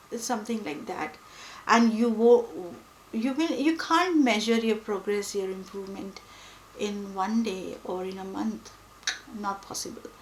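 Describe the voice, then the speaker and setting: Young female vlogger, Hindi native, measured unhurried delivery, thoughtful and hesitant, roomy echo. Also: mid-range voice, a little hiss or hum. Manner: thoughtful, pausing often with fillers. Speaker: book vlogger. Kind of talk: reflective personal talk to camera. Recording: echoey room with faint ambience.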